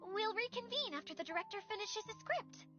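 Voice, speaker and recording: A helpful young woman in a video game, very high-pitched and lively, with a touch of exasperation. The sound is slightly muffled. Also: fairly quick, over loud music. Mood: explaining, resigned. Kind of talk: video game character acting.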